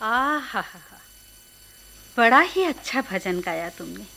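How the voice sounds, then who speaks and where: A woman's voice: fairly high pitch, very animated, takes it slowly, gravelly, full character voice. An actress playing a kindly woman of authority in a radio play, assured and instructing. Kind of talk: Hindi radio play acting. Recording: old radio play recording, faint music.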